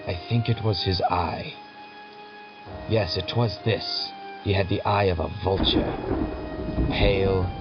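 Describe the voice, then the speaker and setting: A nervous madman in a gothic audio drama, his voice very low and his delivery slow and intense. He dwells on words and repeats them with obsessive emphasis. Music plays prominently behind the slightly muffled recording. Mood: obsessive, haunted. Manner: deliberate, intense, halting, emphatic repetition. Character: nervous, obsessive madman insisting on his sanity, confessing murder.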